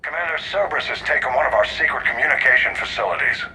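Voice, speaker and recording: A man's voice: medium pitch, expressive, fast talker, pushed and bright, soft background music, poor audio. A man playing a stern, grave admiral in a video game, giving clipped, authoritative briefings. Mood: grave, urgent. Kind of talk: dramatic video game acting.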